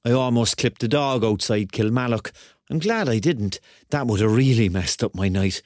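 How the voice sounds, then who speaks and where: An actor playing a rambling, excitable thirty-year-old man with a Cork accent, telling his story colloquially in a radio drama. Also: medium pitch, moderate pace, no echo, clean studio sound, lightly acted. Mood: confiding, restless. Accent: Cork Irish. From Cork, Ireland. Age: 30s. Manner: colloquial, digressive, conversational. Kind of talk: first-person radio drama narration and dialogue.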